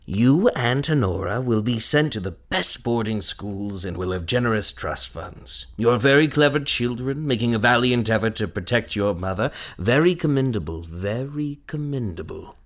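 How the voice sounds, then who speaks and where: An actor playing a cruel, scheming husband in an audio melodrama, with a mocking, theatrical delivery. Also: male voice, mid-range voice, animated, normal speed, hardly any pauses, natural voice. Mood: gloating, mocking. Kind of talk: audio drama acting, gothic melodrama.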